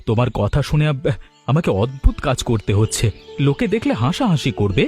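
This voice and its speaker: A man's voice: medium pitch, big pitch swings, moderate pace, a little mellow, faint music behind, lightly acted. A man voicing a meek, hesitant village husband in a cartoon, sounding doubtful and mildly chiding. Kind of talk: Bengali village cartoon dubbing.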